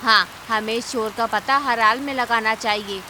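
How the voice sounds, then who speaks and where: A woman's voice: a little high, moderate pace, noisy background, lightly acted. A woman voicing a cautious, determined female bird in a cartoon, with an earnest, urgent way of talking. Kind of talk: cartoon character acting.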